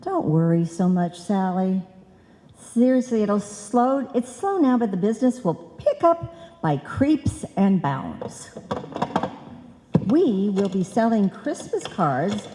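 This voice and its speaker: An actress playing a scatterbrained, bossy wife who earnestly mangles sayings in an old-time radio comedy. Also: female voice, medium pitch, big pitch swings, slow pace, mellow. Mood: earnest, confident. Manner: earnest malapropisms, chiding. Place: on stage in a live performance. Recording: live hall recording, long reverb.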